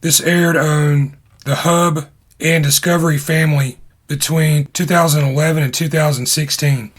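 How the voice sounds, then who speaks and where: A man hosting an online video, narrating his explanations in a measured way, in short phrases. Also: a little high, steady tone. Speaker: YouTube host.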